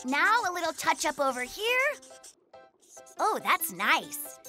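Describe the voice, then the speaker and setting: A friendly young brown bear in a children's cartoon. The voice is very high, sharp and hugely animated. He is easily spooked, with a wry, exclamatory way of talking. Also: slow pace, soft background music, heavily acted.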